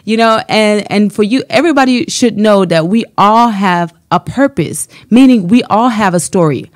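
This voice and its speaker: A woman's voice: medium pitch, normal speed, dry recording, studio quality. A woman from Germany with a German accent, a life coach speaking earnestly and with faith about her business as a radio guest. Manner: earnest, motivational, faith-filled. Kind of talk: radio interview, personal testimony.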